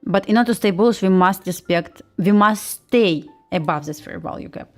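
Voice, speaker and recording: A woman's voice: medium pitch, normal speed, a little mellow, soft background music. A woman with a Russian accent hosting a casual trading livestream, chatting informally and commentating in slightly non-native English. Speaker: host, futures day trader.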